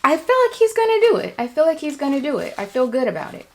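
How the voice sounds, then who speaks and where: A woman vlogging casually and reflectively, sounding nervous, with halting speech that circles back and repeats phrases for emphasis. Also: high-pitched, sing-song, noisy background. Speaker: vlogger, writer and storyteller. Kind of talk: casual, reflective vlog talk.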